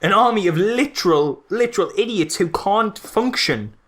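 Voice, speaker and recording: Male podcast co-host, high voice, swooping incredulous delivery, rambling casual film critique. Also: animated, normal speed, a bit bright.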